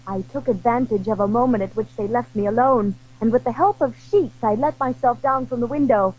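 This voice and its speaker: A woman's voice: fairly high pitch, a little fast, darkish tone, husky, lo-fi sound. A woman acting a charming, earnest young wife in a period audio drama, formal and pleading in manner. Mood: earnest, guarded. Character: charming young wife, about twenty-five, earnest and secretive.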